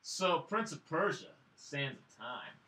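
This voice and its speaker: A man co-hosting a casual film review, telling the story in an excitable, rambling way. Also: a little high, slow pace. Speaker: podcast co-host reviewing films. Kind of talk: casual chat, film recap. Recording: echoey room, distant microphone.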